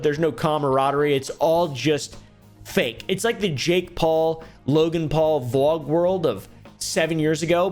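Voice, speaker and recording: A young man doing dry, sarcastic commentary on online streamer drama, swearing freely. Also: on the high side. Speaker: commentary YouTuber.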